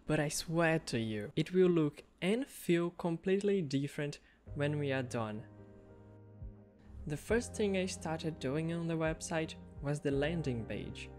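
A man's voice: a little high, lively intonation, measured pace. A man whose first language is Portuguese, narrating a coding project in an upbeat, casual voice-over. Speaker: web developer vlogger. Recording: soft background music under voice.

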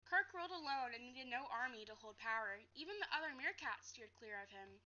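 Young lady reading a chapter book out loud, pretty high voice, kinda dull webcam audio. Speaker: teacher reading aloud. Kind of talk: storybook read-aloud. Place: living room, seated on a couch. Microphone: webcam at a short distance.

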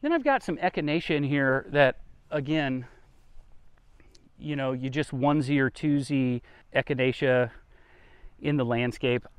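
A man's voice: medium pitch, clear, resonant tone, no echo. A man hosting a garden tour outdoors, talking in a folksy, conversational way as he explains things casually. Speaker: gardening vlogger, host.

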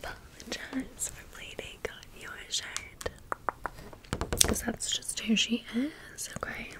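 Slow, whispering woman doing ASMR. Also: a little high, fairly even intonation. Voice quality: breathy whisper. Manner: casual, gushing, sassy slang. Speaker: ASMR video creator. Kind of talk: ASMR doll haul, rambling commentary.

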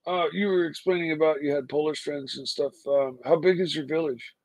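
Halting man with a dry, close sound. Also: fairly high pitch, steady tone, dry recording, rough recording. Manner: halting, many fillers and restarts. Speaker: livestream co-host. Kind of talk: casual chat. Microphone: video call.

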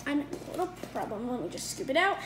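Chatty high-voiced young woman in echoey kitchen. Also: very animated, rapid-fire, background noise. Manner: chatty, upbeat, many fillers and self-corrections. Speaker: cooking video host. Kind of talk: casual cooking demonstration. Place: home kitchen, indoors. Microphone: phone camera across the counter.

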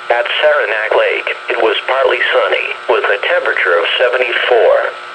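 A man's voice: medium pitch, moderate pace, sharp tone. A synthetic man's voice reads a scripted weather forecast as an automated announcer over a weather radio. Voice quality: synthetic text-to-speech. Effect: weather radio broadcast. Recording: noisy radio speaker playback.

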